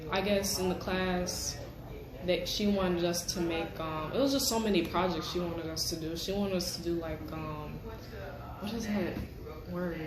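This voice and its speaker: A woman's voice: fairly low pitch, moderate pace. A twenty-year-old woman chatting candidly in a casual group discussion. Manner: chatty, candid, laughs easily. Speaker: youth participant. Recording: echoey room with loud crowd.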